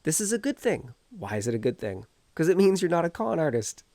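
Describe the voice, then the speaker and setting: A man's voice: on the high side, very animated, brisk, warm tone, no room sound, studio quality. A man with a cold and a stuffed-up nose, earnestly encouraging viewers as a self-help coach while talking outdoors. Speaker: energy healer and coach.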